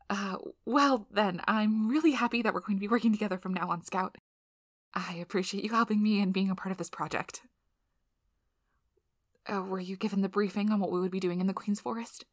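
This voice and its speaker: A very dry, intimate recording of a woman acting out a flustered young female researcher in an ASMR role-play. She speaks at a medium pitch, rambling and stumbling apologetically, and slips into breathy, whispered asides. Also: dry recording, lightly acted. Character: nervous, rambling young woman PhD researcher of magical creatures.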